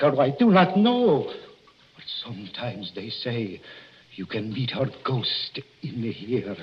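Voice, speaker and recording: An actor playing a superstitious Italian boatman who speaks halting, ominous broken English with an Italian accent in a 1940s radio mystery. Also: mid-range voice. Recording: muffled, noisy 1940s radio recording.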